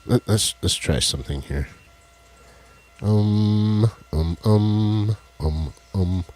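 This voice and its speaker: A man with a deep voice, co-hosting a casual live stream and joking as he fiddles with his tech. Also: very slow, darkish tone, faint music behind. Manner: rambling, jokey, fiddles with tech while talking. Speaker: co-host of a live stream show. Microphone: headset with headphones.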